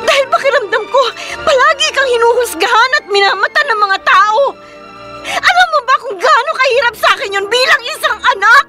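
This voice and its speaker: An actress with a Filipino accent playing an anguished, exasperated young daughter in a melodramatic radio drama. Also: female voice, very high-pitched, lively intonation, a little fast, music in the background. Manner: emotional, pleading, exasperated. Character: anguished, fed-up daughter of a drunkard.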